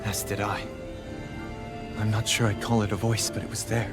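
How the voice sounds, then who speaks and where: Low-voiced, composed fantasy hero played by a man. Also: level tone, normal speed, loud music behind the voice, lightly acted. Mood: grave, composed. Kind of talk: English dubbed video game cutscene acting.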